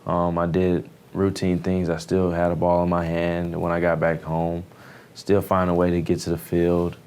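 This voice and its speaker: A man's voice: low-pitched, monotone, moderate pace, a little mellow, clean tone. A man in his early twenties with an American accent, a young athlete giving casual, somewhat hesitant interview answers full of fillers. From Houston area, United States. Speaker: baseball pitcher, newly drafted player.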